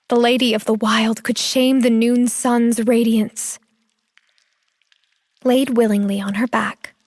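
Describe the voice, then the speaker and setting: A woman reads scripted fiction as a sensual storyteller, breathy and often close to a whisper. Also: on the high side, no room sound. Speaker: audio drama narrator.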